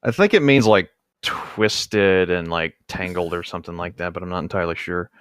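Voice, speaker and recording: A man's voice: deep voice, moderate pace, fairly bright tone, smooth. A man co-hosting a book read-aloud, switching between narrating a novel and casual, slightly hesitant joking commentary.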